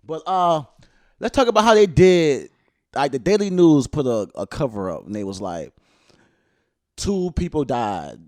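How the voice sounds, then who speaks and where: Animated joking man in his thirties. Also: measured pace. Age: 30s. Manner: loud, joking, swearing banter with sudden jumps in pitch. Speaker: podcast host. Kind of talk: casual chat.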